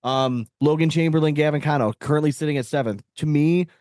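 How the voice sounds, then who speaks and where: A man's voice: on the high side, fairly quick, a little mellow, no room sound, clean studio sound. A man co-hosting a podcast, talking casually and analytically about sport in a blunt, profane, slangy way. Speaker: podcast co-host.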